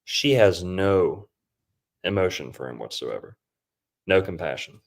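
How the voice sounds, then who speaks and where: A man's voice: sits low, expressive, unhurried, creaky. A man hosting a podcast, casually breaking down a movie in a loose, rambling way with lots of fillers. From Florida. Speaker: podcast host.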